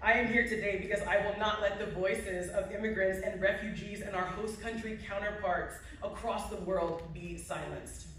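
A woman's voice: mid-range voice, fairly even intonation, normal speed, few pauses. A woman speaks from a podium in a big hall, accepting an award in a formal, emphatic way and driving home her points by repeating them. She is heard from far back in the audience, with heavy echo and a faint hum of the room. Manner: emphatic, repetitive rhetorical build. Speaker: award recipient giving acceptance speech. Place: large indoor hall at a podium, to a seated audience. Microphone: podium mic, heard from a phone in the audience.

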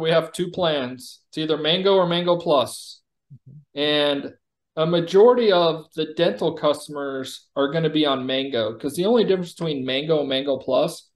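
A man's voice: fairly high pitch, normal speed. A man giving a conversational product demo as a company executive, explaining things informally.

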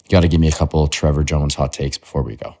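A young man hosting a podcast, upbeat and friendly, talking into a mic in front of him in a bright room. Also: rumbling low, level tone, very fast, creaky. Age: young adult. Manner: upbeat, friendly, hype-y host banter. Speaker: podcast host. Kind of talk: podcast interview and outro.

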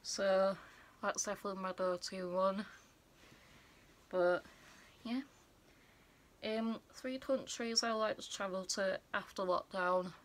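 A woman of twenty-one chatting casually to a phone camera in her bedroom as a vlogger, relaxed with many fillers. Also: mid-range voice, slow, long pauses. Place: small bedroom indoors.

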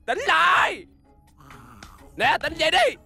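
High, creaky, bossy alien villain. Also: huge pitch swings, slow pace, soft background music, full character voice. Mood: exasperated. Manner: shouting, impatient, scornful. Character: bossy, short-tempered alien villain scheming against a boy hero. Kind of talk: animated cartoon dub.

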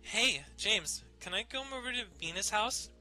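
A man's voice: high voice, lively intonation, moderate pace, pushed and bright, soft background music, voice pushed up, full character voice. A man voicing a snarky young little sister with a casual, teasing delivery, in a visual novel reading. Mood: asking.

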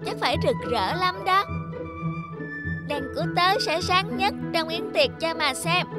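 A woman's voice: very high-pitched, lively intonation, measured pace. A woman voicing a young, chatty, earnest child angel trainee in a children's cartoon. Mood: excited. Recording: loud background music under the voice.

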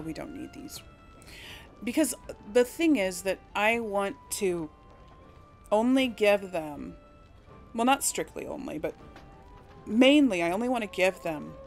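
Casual woman gamer thinking aloud over music. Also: medium pitch. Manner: chatty, thinks aloud, addresses viewers. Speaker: video game player hosting a series. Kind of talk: casual let's play commentary. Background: game music.

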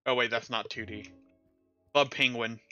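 A man's voice: mid-range voice, fairly even intonation, normal speed, bright, forceful tone, clear, resonant tone, dull audio, faint music behind. A man streaming a game, chatting casually and mocking himself, with a slight stutter at times. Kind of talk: casual chat while playing a game.

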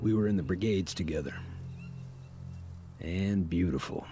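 A low, folksy fugitive rebel. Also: male voice, a little slow. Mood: wistful. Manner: folksy, calls the listener "young blood". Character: gruff middle-aged male fugitive ex-revolutionary, folksy and wistful. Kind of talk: video game voice acting. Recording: game audio with clear music underneath.

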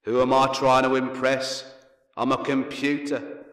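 A man voicing a glum, self-doubting ship's computer thinking aloud, deadpan, with an echo effect. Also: medium pitch, a little slow. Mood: dejected. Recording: echoing, distant-sounding effect.

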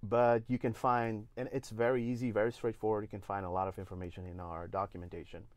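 A man in his forties from Brazil, speaking English with a Brazilian Portuguese accent, walking through a software demo step by step with many "uh"s and restarts. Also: fairly low pitch, level tone, fast talker, dry recording. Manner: explains step by step with frequent "uh" and restarts. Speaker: engine evangelist giving a demo. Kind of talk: live software tutorial. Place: indoor studio.

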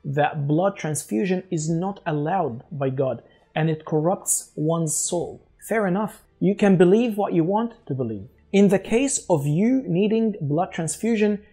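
A man in his forties from Egypt, Arabic native, speaking English with an Egyptian accent, host of his own channel, delivering an earnest, measured talk to camera on a lapel mic in a studio. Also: high-pitched, expressive, warm tone, breathy edge, soft background music. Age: 40s. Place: studio with dark backdrop.

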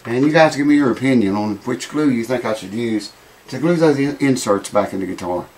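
A man in his thirties with a drawl, his voice raspy and worn thin. He chats loosely and folksily, swearing now and then, as he talks through a repair in a small cluttered workshop. His pitch sits in the middle range, heard through a camera mic a few feet off. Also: animated, a little fast, a little mellow, low-quality recording. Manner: rambling, folksy, swears casually. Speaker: guitar repair vlogger. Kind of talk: casual workshop chat to camera. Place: small cluttered home workshop with mounted animal heads, indoors.

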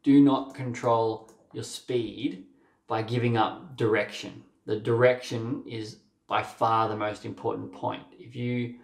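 Encouraging young coach, roomy echo. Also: male voice, mid-range voice, steady tone, unhurried, creaky. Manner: direct, encouraging, uses "mate", frequent "um" and "sort of". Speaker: waterski coach. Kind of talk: video coaching commentary. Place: small room with a plain wall.

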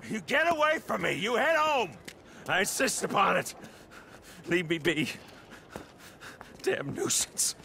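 A man's voice: sits high, big pitch swings, unhurried, pauses often, pushed and bright, heavily acted. An actor playing a pompous, indignant older father in a western video game, blustering as he talks. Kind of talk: video game cutscene dialogue. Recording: distant voice under clear noise.

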